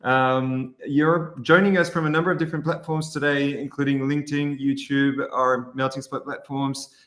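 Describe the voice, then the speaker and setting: A man hosting a webinar and interviewing a guest, friendly and loose, with many "um"s. His voice sits at a middling pitch and carries a noticeably roomy echo. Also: flat delivery, normal speed, a bit bright. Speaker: webinar host, company founder.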